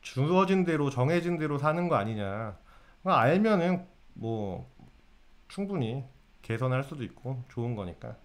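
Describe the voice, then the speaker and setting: A man's voice: mid-range voice, sing-song, moderate pace. A man giving a casual lecture to viewers on fortune-telling. He keeps asking his audience questions and answering them himself.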